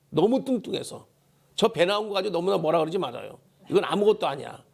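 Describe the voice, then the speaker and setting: A male pastor delivers a church sermon in a high, worn voice. It is hoarse and heavily creaky. He exhorts emphatically, driving key phrases home by repeating them. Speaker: pastor preaching a Sunday sermon. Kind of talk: Korean church sermon with call-and-response prompts. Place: church service.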